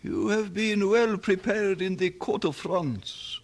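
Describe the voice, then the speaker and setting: A man's voice: high voice, very animated, slow pace, vocal fry, full character voice. An actor playing a grave archbishop counselling a young queen in old radio drama style.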